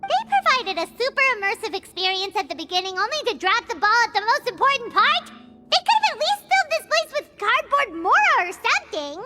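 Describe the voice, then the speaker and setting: A cute little fairy companion from a video game. The voice is extremely high, squeaky and very animated, exclaiming with childlike indignation over clearly audible music. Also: female voice, brisk. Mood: indignant. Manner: squeaky, exclaiming, refers to self in third person.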